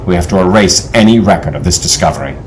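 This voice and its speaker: An actor playing a decisive, steady team leader in an audio adventure drama, measured and formal. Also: male voice, low-pitched, slight background noise, natural voice. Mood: firm, planning.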